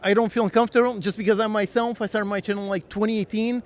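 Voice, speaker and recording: High-voiced man, chatty and laughing. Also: fairly even intonation, fast talker. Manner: laughs often, hesitant fillers, quotes himself. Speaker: game dev YouTuber, guest. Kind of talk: casual conversation.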